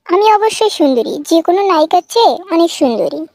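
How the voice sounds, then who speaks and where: A woman's voice: very high-pitched, very animated, fast, darkish tone, no room sound, clean studio sound, full character voice. A woman voicing a vain, pleading young would-be film heroine with a Bangladeshi accent in a comic cartoon. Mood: vain, indignant. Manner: boastful, wheedling.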